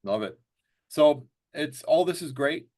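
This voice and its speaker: A man's voice: a little high, lively intonation, measured pace, darkish tone. A middle-aged man hosting a casual political interview, chatty and fond of joking hypothetical questions. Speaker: interview host.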